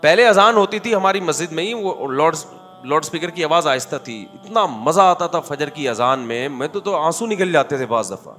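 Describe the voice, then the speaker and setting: A man preaching in a conversational, animated way, telling anecdotes with quoted dialogue and rhetorical questions. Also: on the high side, moderate pace, in a large hall, soft background music. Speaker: Islamic preacher. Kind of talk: conversational religious talk.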